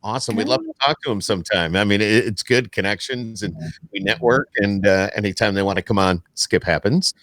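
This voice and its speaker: A man's voice: on the low side, a little fast, fairly bright tone, dry recording. A man in his sixties hosting a podcast, chatting casually on a good microphone in his home studio. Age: 60s. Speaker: podcast host and radio guy. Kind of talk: casual interview chat. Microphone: high-quality studio microphone.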